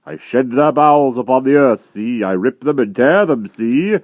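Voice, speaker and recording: A man voicing a huge brutal male giant who makes slow, loud, sneering threats. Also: mid-range voice, lively intonation, dry recording, phone-quality sound, voice pushed up, natural voice. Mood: gloating.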